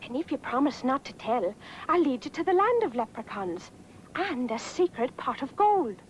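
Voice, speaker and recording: A woman's voice: high voice, big pitch swings, moderate pace, slight background noise. A woman voicing a tiny, coaxing female leprechaun with a showy sales patter in a cartoon. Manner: sweet-talking, persuasive, showy. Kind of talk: 1960s animated cartoon acting. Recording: dull lo-fi old cartoon soundtrack, music behind.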